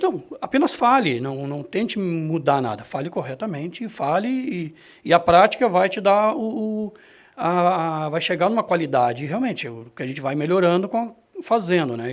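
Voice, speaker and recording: A man answering interview questions about his own experience, in a halting, self-correcting way. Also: fairly high pitch. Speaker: radio reporter and presenter being interviewed. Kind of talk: reflective interview answer, personal anecdotes.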